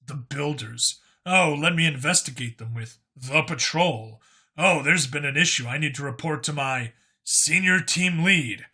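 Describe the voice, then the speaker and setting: A man's voice: a little high, a little slow, pushed and bright, breathy edge, exaggerated voice. A man voicing an irreverent human cop in a science fiction story, with a casual, sarcastic, grumbling manner.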